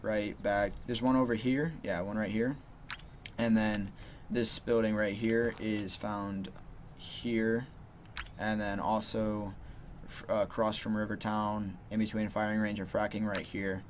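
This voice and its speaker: A man's voice: lowish voice, level tone, measured pace, slight background noise. A man giving rambling, casual gameplay tips, talking loosely with lots of "you know" and "like". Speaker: gaming channel host.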